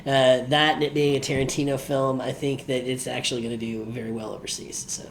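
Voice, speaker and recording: A man with a medium-pitched voice talks as a podcast co-host. He offers opinions in a loose, conversational style. A faint layer of noise sits behind his voice. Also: flat delivery, fairly quick. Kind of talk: casual opinion chat.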